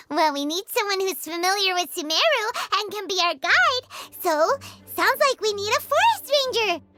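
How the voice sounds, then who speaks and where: A woman's voice: extremely high pitch, unhurried, no echo, heavily acted. A woman voicing a small, chatty, floating fairy companion in a video game. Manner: chirpy, chatty, expressive. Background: loud game music.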